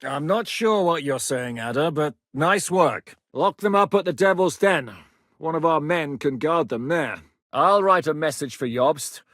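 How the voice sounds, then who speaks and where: A blunt, sweary rebel commander for a video game, his gruff voice sitting a little high for a man. He snaps out orders in short, clipped lines. The studio sound is close and dry, with no room around it. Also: lively intonation, measured pace, a bit bright, no room sound. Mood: commanding. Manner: barks curt orders, swears often. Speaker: game character.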